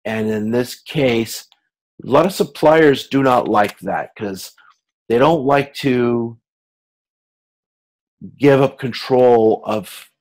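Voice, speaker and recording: A man lecturing as a university instructor, explaining slides informally in a halting way, repeating words and correcting himself as he goes. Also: medium pitch, rough recording.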